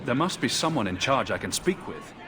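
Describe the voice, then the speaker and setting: Earnest male game hero acted, polite, direct, inquiring, lively intonation, clear noise behind. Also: medium pitch, brisk, close to an everyday voice. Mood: questioning. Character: earnest, questioning young refugee mage hero. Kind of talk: video game character acting. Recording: clear background noise, outdoor ambience.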